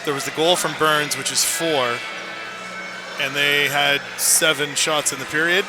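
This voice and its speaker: A man's voice: fairly high pitch, fairly even intonation, unhurried, rough recording. Male hockey analyst over music. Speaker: hockey colour commentator. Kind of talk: live sports analysis. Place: indoor ice hockey arena.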